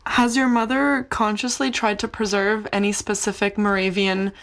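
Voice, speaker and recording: A woman interviewer posing formal, measured questions from a prepared list. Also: medium pitch, flat delivery.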